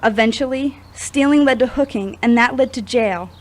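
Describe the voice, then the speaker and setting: A woman's voice: on the high side, moderate pace, natural voice. A woman playing a wounded, confessional young woman in her twenties in an audio drama, speaking in an earnest, confiding way. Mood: somber confession. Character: wounded, confessional twenty-four-year-old woman, recovering addict. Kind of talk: scripted audio drama acting.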